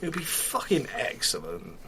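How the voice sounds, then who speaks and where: A man's voice: medium pitch, huge pitch swings, normal speed, noisy background. A man co-hosting a podcast with casual banter and a dry, joking delivery. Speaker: podcast co-host.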